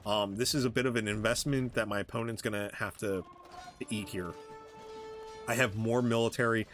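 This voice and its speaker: A man with a fairly low voice talks through his own strategy game match in a casual way. He speaks haltingly, thinking aloud as he explains his moves. Music plays behind his commentary. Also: steady tone, fairly bright tone. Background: background music.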